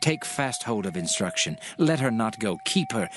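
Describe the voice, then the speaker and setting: An actor playing a solemn, measured father instructing his son in a dramatized scripture reading, with music behind him. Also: fairly low pitch, very animated, noisy background. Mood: solemn counsel. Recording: loud music under the voice.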